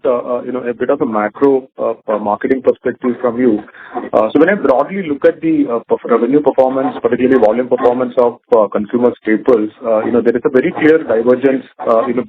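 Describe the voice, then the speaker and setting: Husky Indian analyst on a phone line. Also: male voice, medium pitch, no echo. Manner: hesitant, roundabout, often saying "you know". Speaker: analyst asking questions. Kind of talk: spontaneous question on an earnings call. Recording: tinny narrow phone line.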